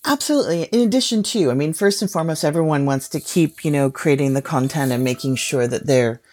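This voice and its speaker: Female expert guest, low register, conversational and explanatory, tags points with quick check-in questions. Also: darkish tone. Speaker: podcast industry guest expert.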